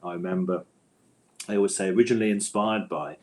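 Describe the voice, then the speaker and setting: A man's voice: deep voice, quick pace, a little mellow. A middle-aged man answering as an interview guest in a thoughtful, hesitant conversational way, often rephrasing as he goes. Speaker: interview guest, author and audio drama scriptwriter.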